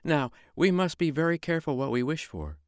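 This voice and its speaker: A man doing a shrill, scolding peasant wife. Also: very animated, a little fast, clear, resonant tone, dry recording, clean studio sound. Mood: worried. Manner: shrieking, scolding.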